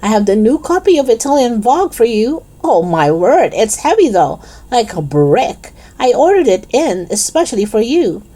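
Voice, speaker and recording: A woman's voice: fairly high pitch, very animated, normal speed, few pauses, warm tone, voice pushed up. A woman voicing a jovial, boastful male shopkeeper in a storybook, effusive and salesmanlike in manner. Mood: jovial, welcoming.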